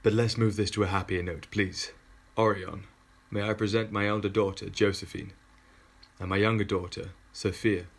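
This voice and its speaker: A man plays a courtly older lord and father in an audio drama. The voice is deep and full of gravelly creak. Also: flat delivery, moderate pace. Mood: genial. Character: Edward, older, courtly nobleman and proud father. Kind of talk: scripted audio drama acting.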